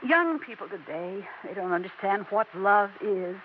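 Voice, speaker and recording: A woman's voice: mid-range voice, expressive, normal speed, lightly acted. An American actress playing a fragile, deluded middle-aged widowed mother in a theatrical, emotionally overwrought 1960s radio drama style. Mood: wistful, reproachful. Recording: muffled, noisy 1960s radio recording.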